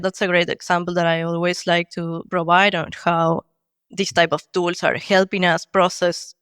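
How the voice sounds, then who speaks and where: Argentine woman, design lead guest, explanatory and clear, dry close sound. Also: lowish voice, a bit bright, dry recording. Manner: explanatory, many fillers like "like". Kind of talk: podcast interview answers.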